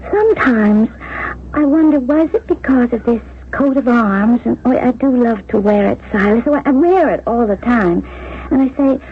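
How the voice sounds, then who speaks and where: An actress as a high-voiced, spirited young woman. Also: normal speed, narrow, tinny audio, natural voice. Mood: torn, coy. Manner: proud, emphatic, girlish. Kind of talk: 1970s radio drama acting. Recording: thin, hissy 1970s radio recording.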